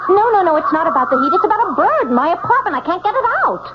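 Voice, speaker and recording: A woman's voice: extremely high pitch, sing-song, rapid-fire, very noisy. A woman playing an anxious, hesitant young wife in an old-time radio drama, with an edgy manner. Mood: flustered. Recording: muffled vintage radio recording, music behind.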